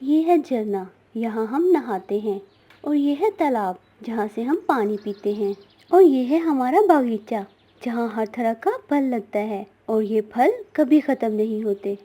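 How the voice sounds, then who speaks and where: A gracious cartoon fairy, acted by a woman, mellow in tone. She is generous and calmly welcoming, lower than the little creatures around her. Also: unhurried, husky.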